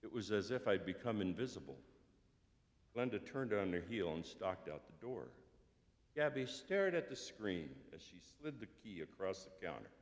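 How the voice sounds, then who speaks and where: Low-voiced man telling a story in church. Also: sing-song, moderate pace. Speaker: scripture and story reader. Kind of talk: story read aloud in church. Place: church sanctuary. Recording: long church reverb.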